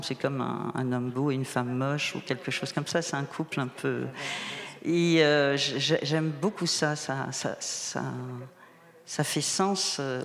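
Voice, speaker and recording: A woman from the Moselle region, who grew up speaking a Franconian German dialect, speaks as a novelist at a table microphone during a public round table. She talks animatedly, circling back and repeating her words for emphasis. The sound bounces around a roomy hall, with a long reverb tail. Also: sits low. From Moselle, eastern Lorraine, France. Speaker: novelist guest on a panel. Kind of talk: round-table discussion at a book fair.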